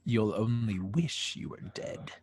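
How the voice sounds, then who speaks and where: Sinister alien sorcerer, read by a man: husky, drawn-out, swooping disdain for a superhero script read. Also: lowish voice, lively intonation, a little mellow. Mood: cold menace. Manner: slow, deliberate, menacing. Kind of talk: amateur table read of a film script.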